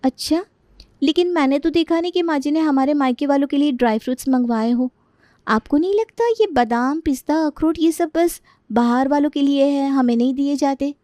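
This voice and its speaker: A woman's voice: high-pitched, normal speed, warm tone, no echo, natural voice. A woman playing an outspoken, suspicious young bride in a story, insistent and knowing. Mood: questioning.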